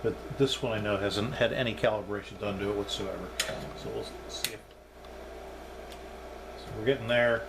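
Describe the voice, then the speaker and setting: Low-voiced man, noisy recording. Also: fairly even intonation, normal speed, creaky, long pauses. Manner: unscripted, thinking aloud. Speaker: hobbyist product reviewer. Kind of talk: casual hands-on demonstration talk.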